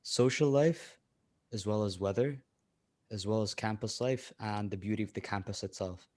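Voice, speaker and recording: Young male panelist, lowish voice, measured and slightly formal. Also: a little mellow. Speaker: student panelist. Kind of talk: casual panel discussion.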